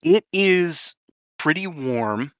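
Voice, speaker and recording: A man's voice: fairly high pitch, animated, extremely slow, clear, resonant tone, dry recording. A man narrating a tabletop game as game master, explaining things in a conversational way.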